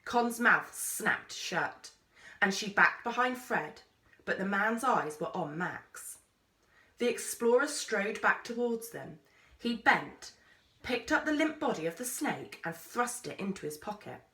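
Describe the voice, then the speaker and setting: A woman narrates a children's story with measured drama. Her voice is bright and highly animated. She sounds set back from the microphone in an echoey room. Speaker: teacher reading aloud. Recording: roomy echo, distant microphone.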